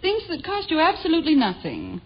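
A woman's voice: very high voice, huge pitch swings, a little fast, highs cut off, heavily acted. A woman playing a sensible, stubborn mother in a 1950s radio sitcom, firm and indignant but affectionate, on an old broadcast recording. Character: sensible, stubborn suburban mother and housewife, defensive yet affectionate.

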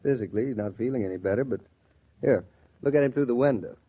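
A young American husband, played by a man in 1940s radio drama. He speaks at a medium pitch, perceptive and affectionate. The recording is old and muffled. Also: fast talker, breathy edge, natural voice. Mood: gentle, admiring.

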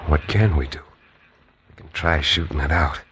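An actor playing an easygoing frontier lawyer who narrates a 1950s radio western. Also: male voice, very deep voice, normal speed, lo-fi sound. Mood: laid-back. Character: easygoing frontier lawyer, man, dry wit. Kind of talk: 1950s radio drama acting. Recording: old radio broadcast with crowd noise.

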